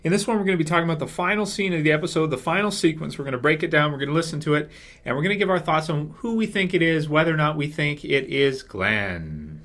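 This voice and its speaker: A man's voice: a little high, animated, fast, fairly bright tone. A man hosting a casual fan commentary video, talking in a rambling way that circles back over his points. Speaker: video host.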